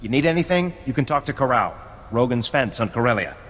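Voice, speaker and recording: A man's voice: medium pitch, big pitch swings, fairly quick, a bit bright. An actor playing a nervous, fawning male gangster informant who stammers and hedges in a game. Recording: game audio with long reverb, faint noise.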